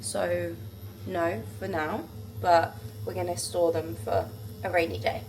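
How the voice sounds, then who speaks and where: A woman's voice: on the low side, steady tone, slow, a little mellow, distant mic. A young woman with a blocked-up, congested voice, chatting casually to camera in her home kitchen and rambling with lots of "like". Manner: rambling, filler-heavy, says "like" constantly. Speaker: vlogger. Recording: echoey room with background noise.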